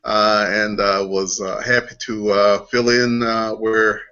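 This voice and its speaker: A man chatting casually on a group call in a halting, polite way. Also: lowish voice, little pitch movement, bright, forceful tone, clean tone. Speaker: voice actor, cast member. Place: online video call. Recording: dull lo-fi call audio.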